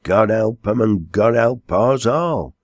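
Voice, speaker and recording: A plain-spoken Northern English landlady from a storybook, acted by a male reader. She is bossy and tender-hearted by turns. Her speech is unhurried and homely, with little room sound. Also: on the low side, no room sound, voice pushed up. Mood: pitying.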